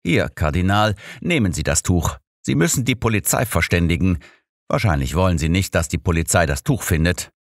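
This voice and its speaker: A man's voice: sits low, animated, normal speed, no room sound. A man voicing an imperious old master detective in an audiobook, commanding, dry and impatient.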